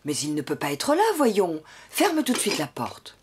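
A woman voicing a patient, gently firm mother donkey in a cartoon, motherly and reasoning. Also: sits low, very animated, moderate pace, background noise. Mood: firm, reassuring. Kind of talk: cartoon voice acting.

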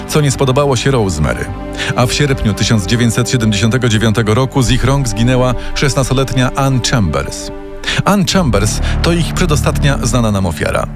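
A man reading a scripted true-crime story as a podcast narrator, recorded as a studio voice-over. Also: medium pitch. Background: music.

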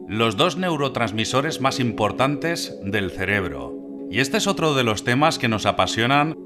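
A man at a mid-range pitch narrates a scripted explainer as a channel host. He lays out points in a measured, explanatory way and throws rhetorical questions at the listener, with clear background music playing under him. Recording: clear music bed behind the voice.

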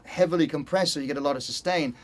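A man's voice: on the high side, very fast. A middle-aged man from Spain, a guitarist talking casually as an interview guest and often searching for words. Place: recording studio control room, seated.

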